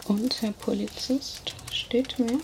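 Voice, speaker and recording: A woman voicing a teasing, predatory female vampire kidnapper in an ASMR roleplay, whispering softly and flirting close to the mic. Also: a little high, steady tone, takes it slowly, noisy background. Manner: soft whispering, playful and flirtatious. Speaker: ASMR creator.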